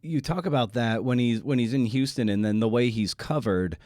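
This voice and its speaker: A man hosting a podcast interview, speaking thoughtfully in halting phrases with frequent restarts. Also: mid-range voice, a little mellow, dry recording. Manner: thoughtful, halting, many "uh" and "you know" restarts. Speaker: podcast host. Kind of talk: interview conversation.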